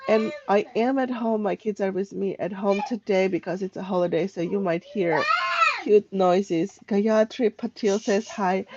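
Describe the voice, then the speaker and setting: Mellow woman livestreaming. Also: medium pitch, dry recording, muffled recording. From Armenia. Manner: halting, rambling, conversational. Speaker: live stream host, travel tips. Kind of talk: casual unscripted livestream chat. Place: at home, indoors.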